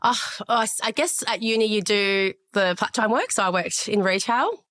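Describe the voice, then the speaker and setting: A woman from Chile raised in Australia, a podcast guest talking through her career in a thoughtful, measured way with frequent fillers. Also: medium pitch, expressive, a bit bright. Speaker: podcast guest, sales director. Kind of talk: conversational interview.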